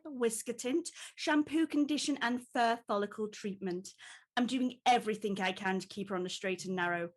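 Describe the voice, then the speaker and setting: A snooty, melodramatic woman in charge, played by an actress. Her voice sits fairly high and she sounds grand and forever put upon. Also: natural voice. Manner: haughty, long-suffering. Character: self-important, put-upon female studio boss, snooty and melodramatic.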